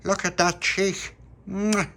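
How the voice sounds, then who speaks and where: A man voicing an eager, excitable little male puppy in a storybook. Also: high-pitched, slow pace, creaky, pitched above the performer's own voice, full character voice. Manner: bouncy, enthusiastic.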